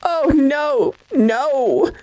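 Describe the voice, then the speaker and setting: A woman's voice: sits high, lively intonation, very slow, warm tone, dry recording, full character voice, low-quality recording. An actress playing a frightened, bewildered, fragile lady in a radio melodrama, hesitant and breathless. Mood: fearful. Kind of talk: classic radio drama acting.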